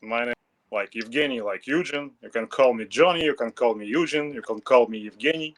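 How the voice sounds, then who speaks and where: A young man from Russia speaking English with a Russian accent, a guest telling his story in halting, pause-filled non-native speech. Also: mid-range voice. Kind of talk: casual interview.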